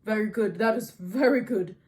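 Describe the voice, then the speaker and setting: A woman voicing a proud, pompous king and army commander with a loud, formal, declamatory delivery, as in an audiobook. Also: medium pitch, normal speed, lightly acted. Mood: awkward, loud.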